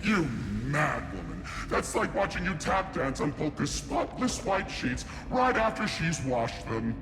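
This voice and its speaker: A man's voice: on the high side, big pitch swings. An actor voicing a theatrical, mocking eccentric genius for a video game, drawing out his taunts with gleeful teasing. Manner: theatrical, taunting, drawn-out delivery. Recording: echoey hall reverb over music.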